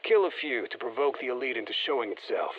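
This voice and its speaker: An easygoing special forces operator for a video game. He talks mission business over a muffled radio, in a plain-spoken, wry, colloquial way at a medium male pitch. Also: lively intonation, fast. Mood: wry, focused.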